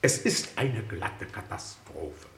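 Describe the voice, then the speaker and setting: An actor playing a fussy, exasperated economics minister who speaks formally and emphatically in a satirical radio play. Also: male voice, on the low side, very animated, slow pace, a bit bright, roomy sound, exaggerated voice. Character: exasperated male economics minister lamenting prosperity, wry and fussy.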